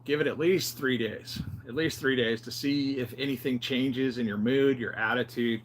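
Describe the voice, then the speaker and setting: A man's voice: mid-range voice, steady tone, moderate pace, slight background noise. A man in his fifties talking casually and unscripted as a health vlogger, in a plain-spoken, rambling, conversational way. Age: 50s. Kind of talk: casual unscripted vlog talk.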